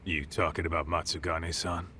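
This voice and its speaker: An actor playing a blunt, streetwise detective in an English-dubbed game, talking in a casual, teasing, slangy way. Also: male voice, low voice, little pitch movement, brisk, pushed and bright, a little hiss or hum. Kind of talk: English dub game cutscene dialogue. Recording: music behind the voice.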